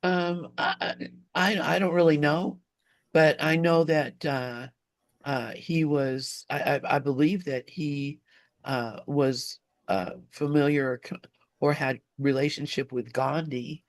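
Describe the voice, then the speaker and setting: Halting man, dry close sound. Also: on the high side, fairly even intonation, no room sound. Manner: halting, groping for words, often repeating himself. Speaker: discussion group participant. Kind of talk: unscripted, reflective casual discussion.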